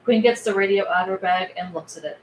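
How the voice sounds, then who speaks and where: A woman with a mid-pitched voice narrates screenplay directions. She is recorded in a roomy, echoing space with faint noise behind her. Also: fast talker. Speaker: reader of stage directions. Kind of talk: scripted narration at a table read. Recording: echoey room, faint background noise.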